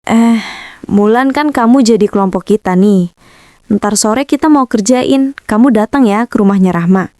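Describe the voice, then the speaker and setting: A woman's voice: on the high side, moderate pace, dark tone, natural voice. A woman voicing a bossy, condescending teenage schoolgirl in a cartoon. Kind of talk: cartoon voice acting.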